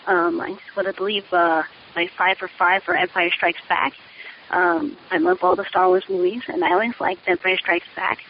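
Caller: woman, hesitant and informal, vocal fry, dull lo-fi recording with faint hiss. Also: fairly low pitch, normal speed. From New York, USA. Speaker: listener calling in. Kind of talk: casual chat, audio feedback. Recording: muffled, hissy lo-fi voicemail sound.

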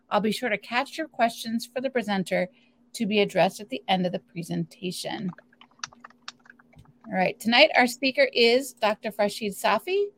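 Female webinar host, slightly high voice, friendly welcome, steady scripted reading. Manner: friendly, reads announcements steadily. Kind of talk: reading an introduction.

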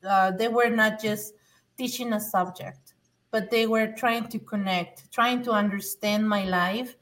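A woman's voice: medium pitch, steady tone, measured pace, a bit bright, a little hiss or hum. Earnest woman, slightly distant. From Mexico. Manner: earnest, emphatic, with frequent restarts. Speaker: guest, former adult learner. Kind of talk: personal testimony in conversation.

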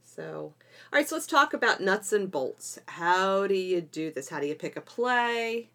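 A woman hosting a casual educational podcast, chatting in an animated way and quick to laugh. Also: medium pitch. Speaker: podcast host and homeschool teacher.

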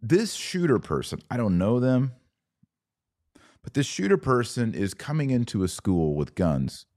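A man delivering an opinionated podcast monologue as host, speaking emphatically with rhetorical questions and dramatic pauses. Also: mid-range voice, sing-song, a little mellow, no echo, studio quality.

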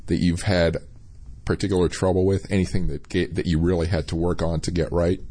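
A woman's voice: a bass voice, flat delivery, fairly quick, mellow. A woman interviewer asking questions casually, with brief, encouraging responses.

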